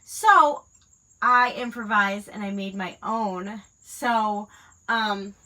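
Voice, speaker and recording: A woman's voice: mid-range voice, slow pace, bright, forceful tone, poor audio. A woman with a stuffy head cold, chatting casually and rambling with fillers while she crafts, a mother of school-age kids. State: congested from a cold. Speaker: crafting livestream host.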